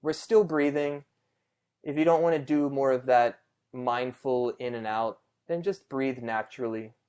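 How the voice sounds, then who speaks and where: Male meditation guide, medium pitch, smooth and clear. Conversational, reassuring, takes his time. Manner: gentle, reassuring, unhurried with long pauses.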